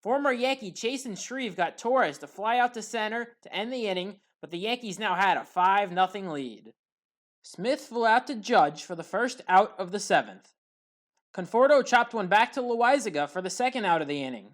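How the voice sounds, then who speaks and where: A woman delivering scripted play-by-play narration as a sports highlights commentator. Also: animated, normal speed.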